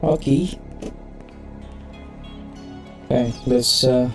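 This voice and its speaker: A man's voice: medium pitch, very slow, soft background music. A man giving casual gameplay commentary as the host of a gaming channel. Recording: echoey room.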